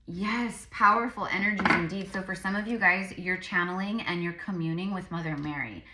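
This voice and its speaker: A woman with a lowish, bright voice gives a casual tarot reading. She sounds tired and a little crackly, rambling along as she addresses her viewers directly. The room adds a noticeable echo. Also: normal speed. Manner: informal, rambling, addressing viewers as "you guys". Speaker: psychic medium and tarot reader.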